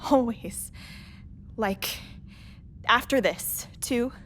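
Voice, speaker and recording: A woman's voice: fairly high pitch, extremely slow, fairly bright tone, slight background noise. A woman acting in a radio play as a frightened, regretful space traveller, plaintive and needy. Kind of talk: naturalistic radio drama dialogue.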